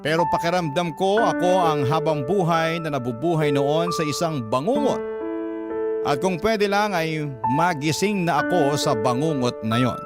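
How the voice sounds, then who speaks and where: A man's voice: a little high, expressive, normal speed. A man narrating a listener's letter in the first person as a radio drama host, in a dramatic storytelling style. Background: loud instrumental music.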